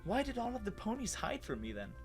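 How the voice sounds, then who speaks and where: A man's voice: high-pitched, huge pitch swings, fairly quick, soft background music, full character voice. A man playing a bewildered human with lost memory, narrating his own story in a dramatic, hesitant, wondering way. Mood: uneasy, wondering.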